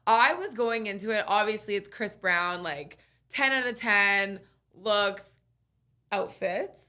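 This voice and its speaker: Young woman, raspy, excitable, laughs a lot, forceful bright tone. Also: mid-range voice, slow pace. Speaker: podcast co-host. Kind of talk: casual chat.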